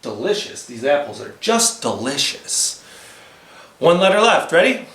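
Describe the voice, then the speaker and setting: A man's voice: a little high, very animated, unhurried, heavy background noise. A man leading a children's storytime, telling stories and encouraging young listeners as he goes. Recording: roomy, echoey sound.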